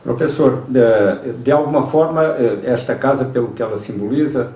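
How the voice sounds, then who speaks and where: A man's voice: mid-range voice, moderate pace, warm tone. A man with a Brazilian Portuguese accent putting a hesitant question as an interviewer, recorded away from the microphone. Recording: echoey room, far from the mic.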